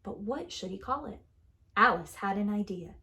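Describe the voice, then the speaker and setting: A woman reading a picture book aloud like a preschool teacher, in a deliberate, expressive storytelling manner that leans on key words. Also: medium pitch, gravelly. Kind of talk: children's story read-aloud.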